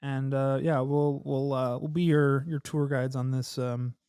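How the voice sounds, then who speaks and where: A young man hosting a casual podcast, slightly hoarse, rambling and laughing as he talks, swearing now and then, on a studio mic in a small home studio. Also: medium pitch, flat delivery, a little slow, mellow, no room sound. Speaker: podcast host. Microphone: studio mic with headphones, on camera.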